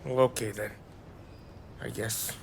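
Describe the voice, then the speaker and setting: A man's voice: fairly low pitch, steady tone, a little slow, gravelly, very noisy. An actor playing a sloppy, woozy, childishly stubborn drunk man who slurs and rambles, in a video game cutscene. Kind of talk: cinematic video game acting.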